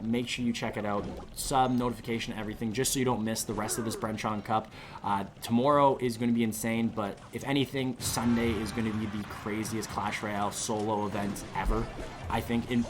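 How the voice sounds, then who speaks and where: A man commentating live on an esports match, losing his voice, talking in a rambling, excitable way that climbs to loud peaks on big plays. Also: mid-range voice, noisy background. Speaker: esports caster.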